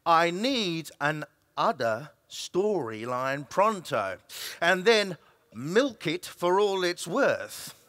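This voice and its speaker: A man's voice: a little high, sing-song, takes it slowly, fairly bright tone, no room sound, heavily acted. A man performing an emphatic, dramatic reading of a comic story as an actor. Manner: theatrical, emphatic delivery.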